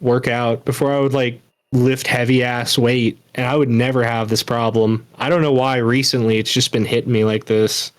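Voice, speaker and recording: A man in his late twenties, co-hosting a podcast, telling stories frankly in a halting way full of restarts. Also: medium pitch, level tone. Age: late 20s. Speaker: podcast co-host. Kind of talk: casual chat.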